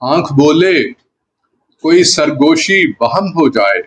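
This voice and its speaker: A man, a poet, reciting ghazal verse in a deliberate manner, repeating lines for emphasis. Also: on the high side, expressive. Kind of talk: Urdu ghazal poetry recitation. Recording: clear background noise.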